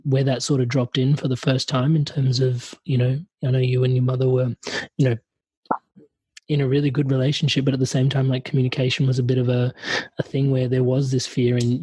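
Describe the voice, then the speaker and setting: A man's voice: mid-range voice, warm tone, husky, dry recording, low-quality recording. A man hosting a podcast who talks in a casual, enthusiastic way, calling his guest dude and brother. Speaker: podcast host.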